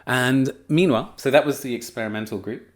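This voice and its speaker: A man's voice: mid-range voice, brisk. A man presenting a research study in a casual spoken lecture, chatty and enthusiastic, with frequent fillers and restarts. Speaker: teacher trainer presenting a study.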